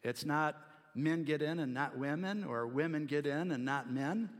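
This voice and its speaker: A man preaching an expository sermon in a deliberate, explanatory way, pausing between short phrases. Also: fairly high pitch. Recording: long hall reverb.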